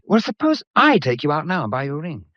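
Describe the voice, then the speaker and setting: An actor playing a breezy, teasing bachelor suitor in a period mystery drama, with flippant, nonchalant banter. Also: male voice, fairly high pitch, huge pitch swings, fast talker, sharp tone, no room sound, dull audio, exaggerated voice. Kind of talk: period radio drama acting.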